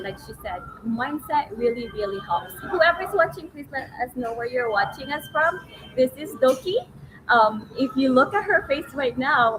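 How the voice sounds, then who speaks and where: A woman in her forties hosting a casual livestream in a chatty, giggly storytelling manner. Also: on the high side. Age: 40s. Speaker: livestream host, online business owner. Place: restaurant, waiting for food. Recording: noisy ambience of a meal venue.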